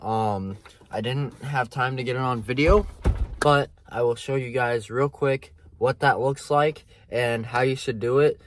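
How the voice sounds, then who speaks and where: A man's voice: medium pitch, measured pace. A man giving a casual, plain-spoken how-to walkthrough in an informal style. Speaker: DIY presenter. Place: in and around a pickup truck. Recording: clear background noise and handling clicks.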